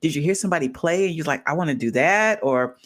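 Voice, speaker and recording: A woman's voice: low voice, expressive, fast. A woman hosting a live online show, bubbly and enthusiastic as she welcomes and interviews a guest. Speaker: host.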